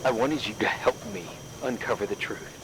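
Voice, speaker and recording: An actor playing a grown man seeking a buried truth in a dramatic video game, grimly insistent, quiet and terse as he probes. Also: on the low side, moderate pace, close to an everyday voice. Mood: grave, resolved. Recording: clearly noisy ambience behind the voice.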